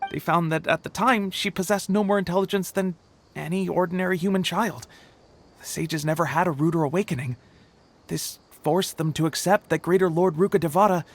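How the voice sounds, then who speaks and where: Fairly high young scholar dude played by a man, formal, explains everything. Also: close to an everyday voice. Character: earnest young male scholar explaining history. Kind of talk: video game cutscene.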